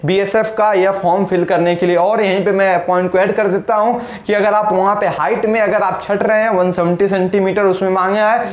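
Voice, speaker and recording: A man's voice: high-pitched, flat delivery, a little fast, hardly any pauses, reverberant. A young man talking straight to camera, giving urgent, repeated advice about filling out a recruitment form, gesturing a lot as he speaks. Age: young adult. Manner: urgent, exhorting, repeats instructions, heavy hand gestures. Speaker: exam coaching YouTuber. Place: small indoor room. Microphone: camera in front, mid-close framing.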